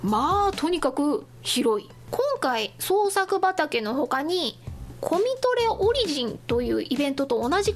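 A woman hosting a solo radio show in a casual, chatty style, giving announcements to listeners. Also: sits high. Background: music.

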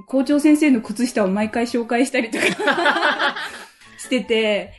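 A woman's voice: a little high, slow. A woman chatting casually as the co-host of a radio show. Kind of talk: casual chat. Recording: light music behind the voice. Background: background music.

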